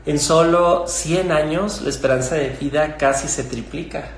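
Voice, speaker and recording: A middle-aged man with a Mexican Spanish accent, a gynecologist explaining things in a measured way with fillers and restarts. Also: fairly high pitch. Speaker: gynecologist guest. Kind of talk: conversational explanation. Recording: echoey room with faint ambience.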